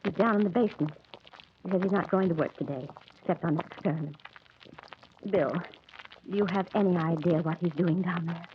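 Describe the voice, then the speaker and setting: A woman's voice: lowish voice, fairly quick, long pauses, lightly acted. A woman playing an anxious, worried wife. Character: worried middle-aged wife and mother, insistent. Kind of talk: 1940s radio drama acting. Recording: muffled old radio recording with faint hiss.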